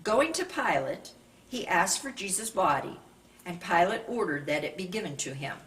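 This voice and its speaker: A woman reading a Bible narrative aloud from a script in a measured, deliberate way, as a scripture reader. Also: fairly low pitch, big pitch swings, a bit bright. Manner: measured, raises voice for quoted speech. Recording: distant microphone with faint background noise.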